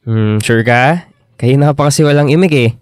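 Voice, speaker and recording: A man acting a patient, concerned young boyfriend in a radio drama, gentle and halting, with a Filipino accent. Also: mid-range voice, clear, resonant tone, no echo, clean studio sound, lightly acted.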